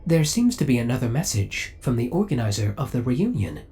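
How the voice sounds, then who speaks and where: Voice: medium pitch, big pitch swings, fairly quick, warm tone, soft background music, lightly acted. An actor voicing a possessive, devoted spaceship AI in an audio roleplay, speaking in a formal, precise, clinical and politely menacing way. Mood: clinical concern. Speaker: voice actor playing a ship's AI. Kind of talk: scripted ASMR audio roleplay acting.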